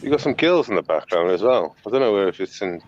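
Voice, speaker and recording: A man's voice: lowish voice, expressive, fast talker, lo-fi sound, slight background noise. A Welsh man hosting a live stream and commenting casually on riot footage, rambling with crude, sarcastic asides. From Wales.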